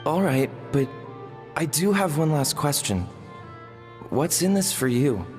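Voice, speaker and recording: A man's voice: fairly high pitch, sing-song, a little slow, darkish tone. A man acting a hesitant, earnest young apprentice in a video game, with a wary, questioning delivery. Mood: anxious, wary. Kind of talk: scripted video game dialogue. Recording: long reverb, faint music.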